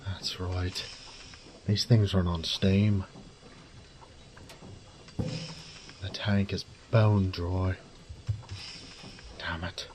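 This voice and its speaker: A man playing a wry, resourceful thief in an audio drama, muttering gruffly and cursing to himself. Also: sits low, level tone, extremely slow, pauses often, a little mellow. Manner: gruff, sardonic, swearing under his breath. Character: wry, foul-mouthed adult male thief, resourceful under pressure.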